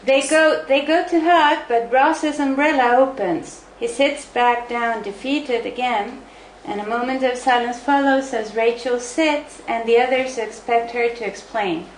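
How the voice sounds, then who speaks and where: In an echoey room with faint noise, a woman narrates stage directions from a script. Her voice is slightly high and. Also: measured pace.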